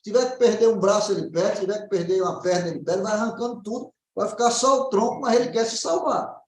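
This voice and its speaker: Brazilian man, naturopath in consultation, explanatory with frequent check-in tags, higher pitch, roomy echo. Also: fast talker, a little hiss or hum. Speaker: naturopath answering questions. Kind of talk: informal health consultation.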